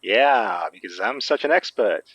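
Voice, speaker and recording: A man's voice: on the low side, very animated, measured pace, fairly bright tone, husky, no echo, muffled recording, full character voice. A man acting a wry, cocky, protective occult sleuth in a naturalistic audio drama. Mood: sarcastic. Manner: sarcastic, cocky.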